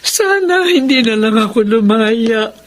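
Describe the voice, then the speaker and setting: A woman's voice: on the high side, lively intonation, measured pace. Tremulous, loving older mother. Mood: tearful, loving. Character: loving, self-blaming, forgiving older mother. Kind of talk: Filipino radio drama acting.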